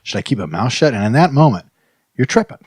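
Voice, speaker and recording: A man's voice: medium pitch, big pitch swings, quick pace, darkish tone, slightly rough, no echo, clean studio sound. A man hosting a comedy podcast, riffing casually in a mock-earnest, rambling way with frequent check-in phrases. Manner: mock-earnest, rambling, peppers talk with "you know what I mean". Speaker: podcast host.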